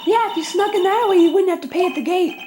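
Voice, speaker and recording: A woman's voice: extremely high pitch, fast talker, noisy background, voice pushed up. A woman voicing a cheerful, always-hungry young female assistant in a game playthrough. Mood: chirpy.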